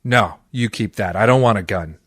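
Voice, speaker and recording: Man playing a low-voiced, deadpan hard-boiled private eye. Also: normal speed, slight background noise, close to an everyday voice. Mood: curt, sardonic. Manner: dry, deadpan, cynical. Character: hard-boiled, wisecracking male private eye and odd jobber. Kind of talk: old-time radio detective acting, narration and dialogue.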